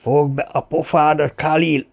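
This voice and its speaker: A hot-headed, grieving gunman from an adventure audiobook , acted out by a male narrator. He rants and threatens in exclamations, his voice pushed higher, on a somewhat muffled recording. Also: flat delivery, vocal fry. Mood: furious, threatening.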